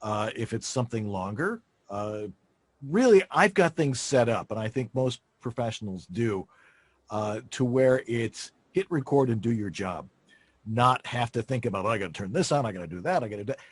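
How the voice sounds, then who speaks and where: A man's voice: medium pitch, lively intonation, moderate pace, husky, no echo. A man giving conversational technical advice as a studio expert, in a blunt, emphatic, plain-spoken way.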